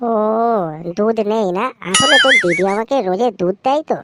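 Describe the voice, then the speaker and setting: A lecherous, wheedling married man from an adult comedy cartoon, acted out by a man, with a West Bengal accent. He hints slyly and then backs away from it. The recording has loud noise behind the voice. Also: sits high, normal speed, close to an everyday voice. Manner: suggestive, backtracking, wheedling. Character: lecherous, sweet-talking married man, sly brother-in-law.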